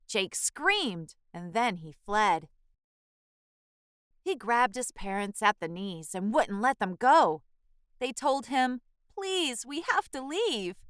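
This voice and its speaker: A woman reading a children's picture book aloud in a measured, expressive storytelling manner. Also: mid-range voice, clear, resonant tone, no room sound. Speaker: children's book reader and channel host.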